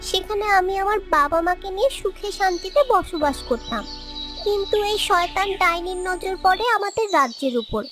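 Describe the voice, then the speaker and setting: A tiny, very high voice belongs to a captive young fairy in bird form, acted by a woman. She speaks earnestly and helpfully, in fairy tale cartoon style, with faint music underneath. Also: expressive, normal speed. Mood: sorrowful, earnest.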